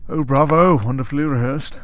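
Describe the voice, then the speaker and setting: A man's voice: a little high, a little fast, fairly bright tone, no echo, natural voice. A man playing an urbane, taunting vampire villain in an audio drama, speaking in a mocking, leisurely, theatrical way. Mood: sardonic, probing. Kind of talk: scripted audio roleplay drama.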